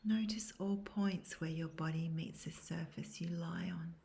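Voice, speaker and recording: Female meditation guide: hushed and breathy, dark soft tone, very slow, calm, measured delivery. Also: fairly low pitch, slight background noise. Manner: calm, measured, soothing instruction. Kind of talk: guided yoga nidra meditation, scripted.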